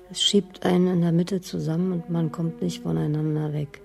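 A lonely, detached wife in a radio play, speaking introspectively. Her voice is extremely creaky and mellow, with little melody and faint music underneath. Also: low-pitched, full character voice. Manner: flat, introspective inner monologue. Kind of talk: German radio drama monologue.

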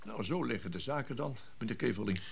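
A man's voice: medium pitch, animated, fast, creaky, breathy edge. A man playing a formal, discreet notary in a Dutch radio play. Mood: formal, measured. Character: formal, discreet male notary reporting to a client.

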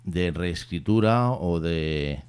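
A male radio host with a very deep, smooth and mellow voice leads a poetry interview. He speaks at a measured pace, tags his remarks with questions and reads quotes aloud. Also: expressive.

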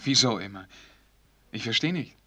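Earnest young prince, bright intense voice. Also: male voice, on the low side, expressive, normal speed. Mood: polite. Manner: pleading, formal, courtly. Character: earnest, lovesick young prince, courteous and wounded. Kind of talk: German radio play acting.

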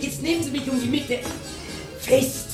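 A woman's voice: high voice, moderate pace, lightly acted. An actress playing a coquettish, wistful older woman in a radio drama. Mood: excited, encouraging. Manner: animated, humming and coaxing. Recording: roomy radio drama with music behind.

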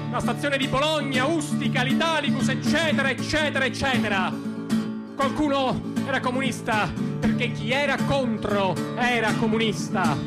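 A man performing a theatrical spoken monologue, declamatory, with insistent repetitions and deliberate pauses. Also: sits high. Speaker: stage actor performing monologues. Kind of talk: theatrical spoken monologue over music, in the teatro-canzone manner. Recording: guitar music behind the voice. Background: plucked guitar music.

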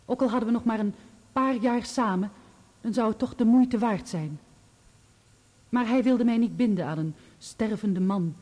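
Composed, mellow-voiced woman witness played by an actress. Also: on the high side, close to an everyday voice. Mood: wistful, devoted. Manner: measured, articulate answers in full formal sentences. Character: composed, loyal bereaved woman testifying as a witness. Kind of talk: Dutch radio play acting.

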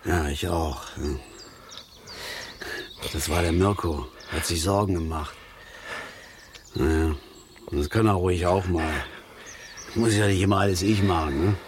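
An actor playing a gruff, grumbling middle-aged father and passionate angler in a radio drama, talking in halting, colloquial German with small tag questions. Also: sits low, background noise. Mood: dry, grumbling. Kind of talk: naturalistic radio drama acting. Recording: outdoor nature ambience, clearly audible.

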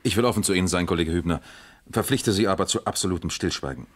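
An actor playing a shrewd East German police captain in a German radio crime drama, questioning in a dry, businesslike way. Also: male voice, low-pitched, fast. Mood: measured, probing.

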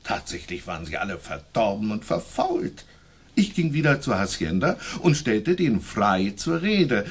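An actor playing an indignant leather merchant in a radio play, emphatic and aggrieved, with a husky voice. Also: male voice, fairly low pitch, very animated, unhurried, exaggerated voice.

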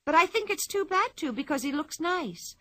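A woman's voice: high-pitched, very animated, normal speed, fairly bright tone, dry recording, clean studio sound, pitched above the performer's own voice. A woman reading a storybook aloud, voicing a cheerful, fearless and very strong little girl who speaks in a chirpy, matter-of-fact way.

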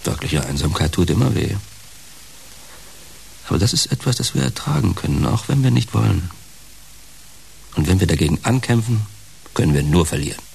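A man's voice: low-pitched, animated, normal speed, gravelly, long pauses, darkish tone, slight background noise, heavily acted. An actor playing a weary, world-worn older man in a naturalistic radio play. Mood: resigned.

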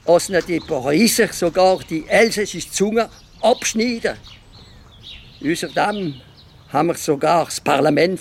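An actor playing a sardonic, idealistic Alsatian newspaper editor in a radio play, speaking colloquial dialect with an Alsatian accent. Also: male voice, on the high side, vocal fry, slight background noise.

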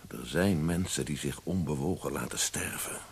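Grave, very husky male doctor. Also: extremely low pitch, moderate pace, exaggerated voice. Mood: grave warning. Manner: blunt, insistent, grave. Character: stern, worried doctor, blunt with his patient. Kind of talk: radio drama acting.

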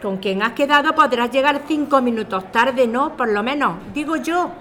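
A woman's voice: sits high, expressive, moderate pace, a bit bright. An actress playing a harried, exasperated working mother in an audio drama.

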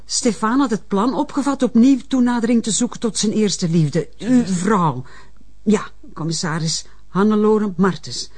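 Haughty older woman being questioned by police, composed, evasive, medium pitch. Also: unhurried, a little mellow, slightly rough. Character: haughty, composed older mother accused of instigating murders. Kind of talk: television crime drama acting.

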